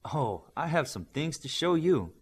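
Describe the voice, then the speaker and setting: Man voicing a bossy young superheroine, animated intonation. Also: fairly high pitch, measured pace, gravelly, slightly rough, voice pushed up, exaggerated voice. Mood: scolding, insistent. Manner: assertive, instructing. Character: bossy, brisk young Japanese superheroine, loyal daughter.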